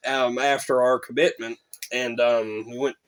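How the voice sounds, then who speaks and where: A man's voice: mid-range voice, a little slow, smooth. A man telling a rambling story in casual chat, with lots of fillers and restarts. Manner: rambling, animated, many fillers. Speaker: band member telling a story.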